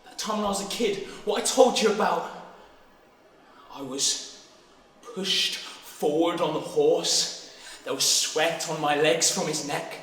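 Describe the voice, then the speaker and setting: A teenage male student actor with a British accent playing a troubled, intense boy obsessed with horses in a dramatic stage monologue, halting and swinging between hushed and explosive. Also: fairly high pitch. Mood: excited, angry. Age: teens, about 16. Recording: very echoey hall, distant mic, faint noise.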